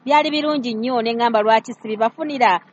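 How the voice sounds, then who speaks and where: A woman's voice: a little high, fast talker. A Ugandan woman hosting radio on location, presenting and interviewing at a live event. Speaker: radio host. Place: live event at a church gathering, ambience behind. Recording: dull sound with background noise.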